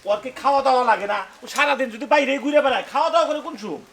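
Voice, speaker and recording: High, quick-talking fretful mother, acted by a woman. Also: vocal fry, a crowd in the background. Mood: worried, questioning. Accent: Bangladeshi. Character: concerned, questioning mother of a wayward girl. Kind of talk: comic Bengali cartoon drama.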